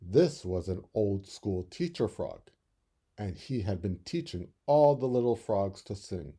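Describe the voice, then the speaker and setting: A man with a very dark, mellow voice narrates a children's story. His delivery is animated and expressive, with deliberate, dramatic phrasing. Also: fairly low pitch, husky. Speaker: storybook reader.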